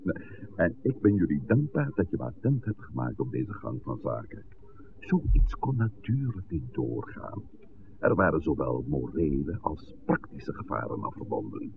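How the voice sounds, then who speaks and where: A very low-voiced village priest, courteous and a little dictatorial, with sweeping, highly animated intonation. It is a vintage radio drama, heard through a narrow, phone-like band with light noise. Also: male voice, moderate pace, gravelly, full character voice. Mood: earnest, wry. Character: village priest, genial, somewhat dictatorial older man. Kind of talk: 1960s Dutch radio drama acting.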